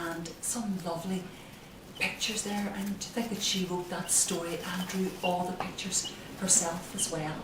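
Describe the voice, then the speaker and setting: Slow-talking middle-aged woman, roomy distant recording. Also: lowish voice. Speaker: storyteller for a children's story time. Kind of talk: slow, simple narration for young children. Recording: roomy, noisy, distant microphone.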